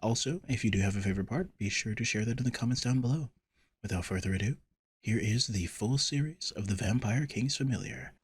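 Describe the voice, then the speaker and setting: A man's voice: mid-range voice, brisk, breathy edge, no echo. A man speaking as an audio roleplay creator, giving a channel intro addressed directly to listeners.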